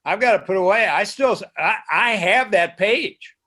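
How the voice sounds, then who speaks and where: High-voiced man, stammering and reminiscing. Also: lively intonation, moderate pace, bright, forceful tone. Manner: stammering repeats, conversational. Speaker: former broadcaster co-host. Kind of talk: casual reminiscing.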